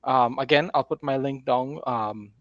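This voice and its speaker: A man's voice: mid-range voice, measured pace, no room sound, muffled recording. A man hosting a casual conversation as an author, explaining things with enthusiasm. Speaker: host, author. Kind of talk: casual conversational talk about writing.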